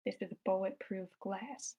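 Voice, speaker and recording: Woman, narrator, mid-range pitch, screenplay stage directions, table-read narration. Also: fairly even intonation, unhurried, gravelly, dark tone, breathy edge.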